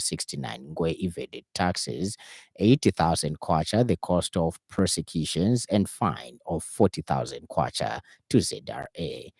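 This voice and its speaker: A man with a Zambian accent reading a scripted radio news bulletin in a formal, measured announcer's delivery. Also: low voice, creaky, a little mellow, husky, no room sound, studio quality. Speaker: radio newsreader. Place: radio studio.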